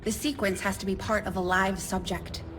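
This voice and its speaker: A woman's voice: medium pitch, fairly even intonation, normal speed, over music, natural voice. Woman playing a matter-of-fact, confident engineer. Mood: resolute, matter-of-fact. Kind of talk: video game cutscene acting.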